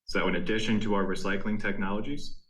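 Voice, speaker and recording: Man, low voice, company executive, prepared earnings-call remarks, formal and measured delivery. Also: fairly even intonation, fairly bright tone. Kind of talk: prepared corporate presentation, scripted narration.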